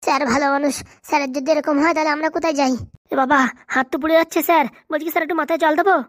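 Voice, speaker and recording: Casting: female voice for eager male sidekick in comic cartoon, fretful rapid chatter, dry close sound. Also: sits high, steady tone, no echo, natural voice. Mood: worried. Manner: deferential, fretful. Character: eager, fussing, devoted male helper of a schoolteacher.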